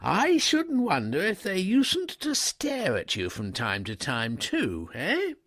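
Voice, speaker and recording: A man voicing a bright, excitable upper-class young English gentleman who stammers and chatters in breezy slang. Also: high-pitched, slow pace, voice pushed up, full character voice. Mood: flustered.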